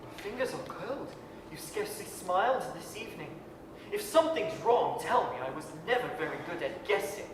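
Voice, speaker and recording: A woman's voice: mid-range voice, animated, fairly quick, natural voice. A woman playing an earnest, ambitious young businessman and suitor in a 1930s radio-style stage reading. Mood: defensive. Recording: very echoey hall, distant, faint noise.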